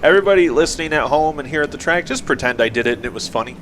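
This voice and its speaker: A man with a dry, measured announcing manner, giving live stock car race commentary. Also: on the high side, lively intonation. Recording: loud vehicle noise behind. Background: race cars and trackside noise.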